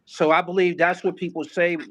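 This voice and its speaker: A man interviewing a guest in casual chat, relaxed but challenging, pushing back on what he hears. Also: high voice, a little fast. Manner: laid-back, challenging, laughs and pushes back.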